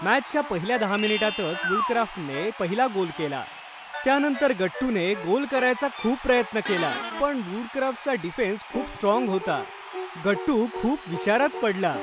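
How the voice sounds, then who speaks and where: A man reads a scripted story for children in the role of narrator. His voice is notably high in pitch for a man. Music plays clearly underneath the narration. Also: very animated, moderate pace. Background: background music.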